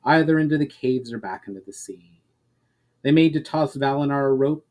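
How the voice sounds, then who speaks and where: A man's voice: mid-range voice, darkish tone. Man narrating a fantasy story dramatically. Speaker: author reading his own story.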